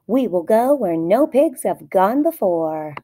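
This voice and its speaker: A woman's voice: medium pitch, very animated, slow pace, dark tone. A woman voicing a bold, feisty male pet guinea pig who gives commanding, exclamatory orders in a children's storybook. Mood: bossy. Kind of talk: children's storybook read-aloud.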